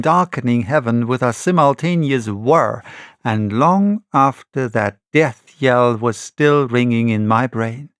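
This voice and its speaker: A man narrating an adventure novel as an audiobook, reading in measured, dramatic short phrases. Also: mid-range voice, no echo, studio quality. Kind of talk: scripted storybook narration.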